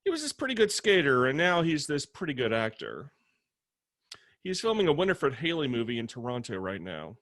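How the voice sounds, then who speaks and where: Actor as sardonic roommate, theatrically animated man. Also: medium pitch, a little fast. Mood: admiring, dry. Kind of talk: table read of a comedy screenplay.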